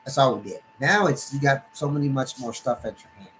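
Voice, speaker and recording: A middle-aged Texan man talks sports on his live stream at a mid-range pitch. He rambles, stops and restarts his sentences, and leans on "you know" and "man". A faint hiss of noise sits under his voice. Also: fairly bright tone, low-quality recording. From Texas, USA. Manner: rambling, halting, many restarts and fillers. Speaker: sports channel host. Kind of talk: live-stream casual monologue. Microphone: desktop computer setup.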